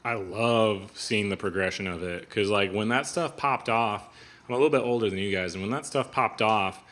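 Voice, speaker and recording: A man's voice: on the low side, moderate pace, a bit bright. A man in his thirties hosting a podcast, chatting casually and earnestly, sharing firm opinions in long runs of talk. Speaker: podcast host. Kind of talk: casual conversation.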